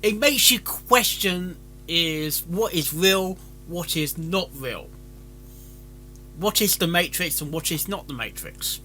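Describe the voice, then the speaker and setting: A man giving casual, rambling commentary, haltingly repeating and restarting his phrases. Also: on the high side, expressive, pushed and bright, soft background music. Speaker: reaction video host reviewing fan fiction.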